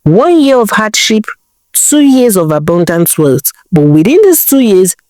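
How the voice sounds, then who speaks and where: A woman's voice: mid-range voice, sing-song, unhurried, a little mellow, dry recording. A woman storyteller voicing a fed-up, resolute husband, stern and reproachful, with a Nigerian accent. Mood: bitter, reproachful.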